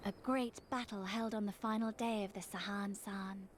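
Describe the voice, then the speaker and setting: A woman voicing a gentle, wise young tribeswoman guide in a fantasy game, explaining things in a measured, solemn storytelling manner. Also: medium pitch, fairly even intonation, a little hiss or hum, pitched above the performer's own voice, natural voice. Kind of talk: fantasy game character dialogue read aloud.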